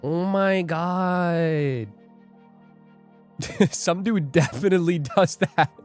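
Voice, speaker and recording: A man's voice: high-pitched, drawn-out words, darkish tone, faint music behind. A young man hosting a live trading stream, joking and rambling as he reads viewer comments aloud. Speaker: livestream day trader host. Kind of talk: casual livestream chat.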